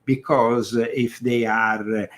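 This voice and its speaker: A man from Italy with an Italian accent, hosting a political commentary show, speaking emphatically but halting often with fillers and restarts. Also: mid-range voice, fairly even intonation, a bit bright. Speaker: show host.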